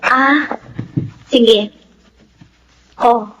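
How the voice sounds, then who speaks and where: A woman acting a sweet, gentle youngest sister in a Filipino radio drama, with a girlish, unhurried delivery. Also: a little high, slight background noise, rough recording. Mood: sweet, curious.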